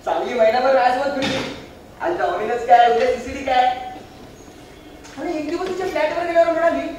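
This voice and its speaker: A man's voice: very high voice, fairly quick, darkish tone. A man playing a reluctant young bachelor, cynical about marriage, declaiming argumentatively in a stage play. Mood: exasperated. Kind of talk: theatrical stage monologue and dialogue. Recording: echoey stage hall from far, faint noise.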